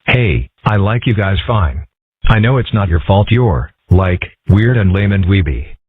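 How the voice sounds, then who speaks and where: Man voicing a deep, muffled schoolboy nerd. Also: animated, unhurried, slightly rough, no echo, full character voice. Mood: defensive, smug. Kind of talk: amateur comic-strip dub. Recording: muffled, phone-like lo-fi audio.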